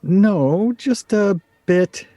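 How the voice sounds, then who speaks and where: An actor playing a competitive, irritable husband in a radio play, with a testy, literal-minded manner. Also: male voice, high-pitched, expressive, drawn-out words, warm tone, no echo, clean studio sound, full character voice. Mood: irritated. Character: competitive, irritable husband who plays to win.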